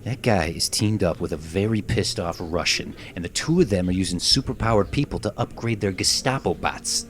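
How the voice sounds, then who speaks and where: Man playing a low-voiced, desperate jailed reporter. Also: a little hiss or hum, close to an everyday voice. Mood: urgent, pleading. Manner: halting, insistent, repeats himself. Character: desperate, pleading male reporter just jailed, excitable. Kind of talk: dramatic script table read.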